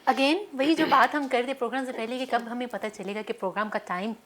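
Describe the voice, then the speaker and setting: A woman's voice: fairly high pitch, quick pace, a crowd in the background. A woman with a Pakistani accent hosting a casual chat show, playfully teasing and prompting her guests. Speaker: talk show host.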